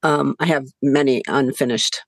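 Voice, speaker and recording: A woman's voice: extremely low pitch, fairly even intonation, moderate pace, dry recording. A middle-aged woman whose was Hungarian, telling stories as a podcast guest, chatty, laughing often, with many fillers. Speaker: guest author. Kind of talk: conversational storytelling.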